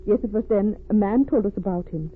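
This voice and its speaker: A woman's voice: mid-range voice, natural voice. Woman acting distraught refugee wife, tinny radio. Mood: troubled. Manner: halting, with foreign word order. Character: distraught refugee wife haunted by a smuggler's crime. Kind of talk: old radio drama acting. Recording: tinny, noisy vintage radio recording.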